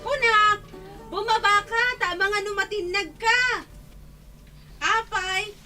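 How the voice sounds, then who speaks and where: A young tattler who nags but goes along, in an Ilocano radio drama. The voice is extremely high and bright, Ilocano-accented, over faint music. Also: female voice, steady tone, slow, exaggerated voice. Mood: nagging. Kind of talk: Filipino radio drama acting.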